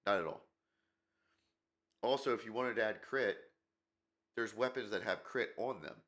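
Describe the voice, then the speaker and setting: A man's voice: on the low side, normal speed, fairly bright tone. A man hosting a gaming channel, talking in a rambling, opinionated way with lots of fillers, his nose stuffed up from a cold. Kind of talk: casual commentary video.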